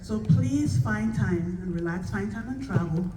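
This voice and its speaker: A woman from Cameroon, a travel agent, giving a friendly, urging pitch to an event crowd. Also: expressive, measured pace. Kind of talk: short promotional pitch at an event. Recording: echoey room, distant mic, clear noise.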